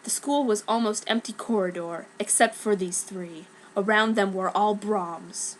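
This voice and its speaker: A woman's voice: mid-range voice, measured pace, a little hiss or hum, poor audio. A woman giving a dramatic, mock-serious reading of a scripted story as narrator. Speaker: reader of a fan story.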